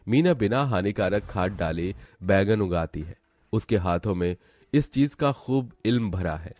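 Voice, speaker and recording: Deep-voiced man narrating a story. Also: expressive, unhurried, a little mellow, no echo. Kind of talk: scripted cartoon story narration.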